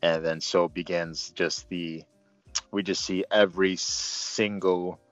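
A man's voice: low-pitched, fairly even intonation, takes it slowly. A man co-hosting a film review, chatting casually and enthusiastically with gushing, emphatic repetition. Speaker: co-host of a film review show. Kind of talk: casual enthusiastic movie discussion. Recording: dull lo-fi roomy sound, music behind.

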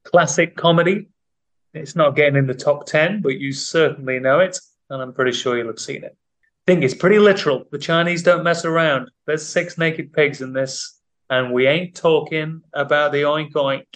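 Playful quizmaster man, slightly high voice. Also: normal speed, a bit bright. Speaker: podcast co-host running a quiz. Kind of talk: casual comedic chat.

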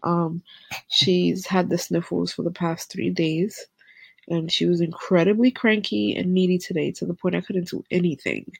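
A young woman with a Caribbean accent, stuffed up with a head cold, chatting candidly as a podcast co-host. Her voice is gravelly and husky. The recording is dry and close. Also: lowish voice, steady tone, normal speed, no room sound. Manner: candid, laid-back, swears casually. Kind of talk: casual chat.

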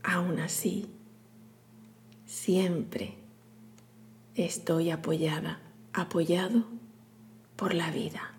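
A woman leading a guided meditation in a soothing, hushed, breathy delivery with long pauses. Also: on the low side, steady tone, slight background noise. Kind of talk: guided meditation, scripted narration.

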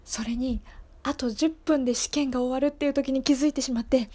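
A woman's voice: sits high, fairly even intonation, fairly quick, mellow, voice pushed up. A woman performing an improvised radio monologue as a flustered young woman, confiding anxiously with sighs and urgent repetition. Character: flustered woman anxiously recalling a graduation exam.

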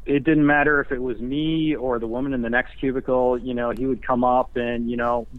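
Halting man with a mid-pitched voice. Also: little pitch movement, no echo, phone-quality sound. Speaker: guest screenwriter. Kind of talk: casual interview chat.

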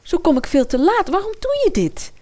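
A woman's voice: very high-pitched, big pitch swings, normal speed, darkish tone. A woman playing an anxious, naive schoolgirl in a modern fairy-tale radio play. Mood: puzzled.

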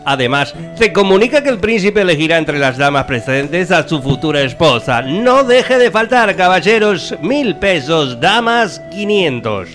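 A royal town crier from a comic radio fairy tale, with an Argentine Rioplatense accent. He declaims loudly and high, swinging in pitch like a street hawker, over light music. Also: big pitch swings, normal speed, hardly any pauses. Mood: proclaiming. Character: royal town crier bellowing proclamations, pompous man. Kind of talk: comic radio theatre acting.

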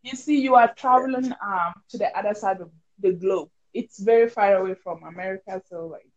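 A woman's voice: medium pitch, brisk, muffled recording. A woman with a Ghanaian accent in casual, conversational chat.

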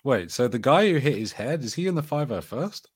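A man's voice: mid-range voice, very animated, a little fast, breathy edge. A man co-hosting a podcast, speaking in casual, conversational fan chat. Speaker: podcast co-host.

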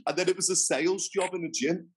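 A man's voice: sits high, steady tone, quick pace, slight background noise. A man, chatting freely as an interview guest and telling his story in a rambling way. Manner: chatty, rambling, restarts often. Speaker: interview guest, fitness trainer. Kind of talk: casual interview chat.

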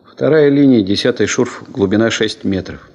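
An actor playing a boastful, folksy gold prospector in a radio play, theatrical and cheerfully self-important. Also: male voice, on the low side, normal speed, gravelly, darkish tone, muffled recording. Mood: excited, boastful.

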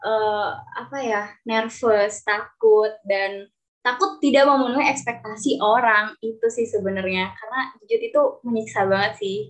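A woman's voice: a little high, steady tone, normal speed, fairly bright tone, low-quality recording. A young adult woman hosting a casual group chat, speaking expressively and often searching for words. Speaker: discussion host.

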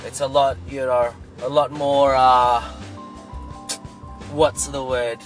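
A man's voice: medium pitch, flat delivery, takes it slowly, faint music behind. A young man with a Filipino English accent telling stories in a rambling, chatty way while driving his car. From Australia. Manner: rambling, chatty, lots of "you know". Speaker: rideshare driver vlogger. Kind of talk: casual storytelling vlog. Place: in a car, stuck in traffic.

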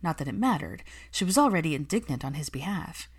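Woman, story narrator, scripted reading, measured delivery, highly varied melody, lower-leaning pitch. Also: animated, slightly rough. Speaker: fan fiction audiobook narrator.

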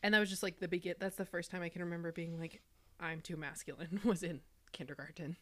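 A young woman with a naturally deep voice, co-hosting a podcast and candidly sharing personal stories in casual chat. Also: fairly even intonation, fast talker. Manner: candid, reflective storytelling. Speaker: podcast co-host.

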